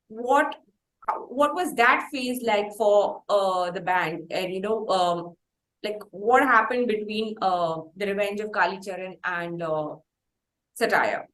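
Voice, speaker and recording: In a roomy, echoey recording, a woman with an Indian accent conducts an interview. Her voice sits at a middle pitch, and she speaks in long, halting stretches, piecing her question together as she goes. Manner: halting, long-winded, builds slowly to questions. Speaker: interviewer, podcast host.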